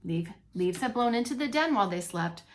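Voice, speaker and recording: A woman reading a storybook aloud to young children as a teacher, expressively, pausing to comment and ask questions. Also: mid-range voice, expressive. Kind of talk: animated read-along storytelling for young children.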